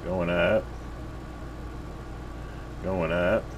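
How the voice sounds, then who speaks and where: A man's voice: low voice, steady tone, drawn-out words, highs cut off, background noise. A man casually reviewing a radio at his workbench, rambling with lots of fillers and restarts.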